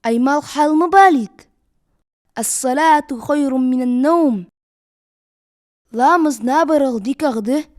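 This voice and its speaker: High-pitched giggly cartoon girl, cheerful big sister, woman voicing her. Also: moderate pace, pauses often, lightly acted. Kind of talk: dubbed cartoon acting.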